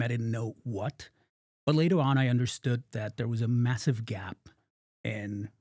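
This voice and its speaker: A middle-aged man from Argentina speaks in a mellow, relaxed voice. His answers come measured and thoughtful, often drifting quieter at the ends of phrases, in a dry, close recording. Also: medium pitch, husky, dry recording. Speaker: startup founder and guest. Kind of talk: interview answers.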